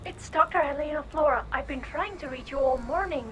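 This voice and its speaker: A woman playing a brisk, concerned doctor in a cyberpunk noir audio drama, clipped and exact. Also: high-pitched, a little hiss or hum, close to an everyday voice. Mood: concerned, exasperated.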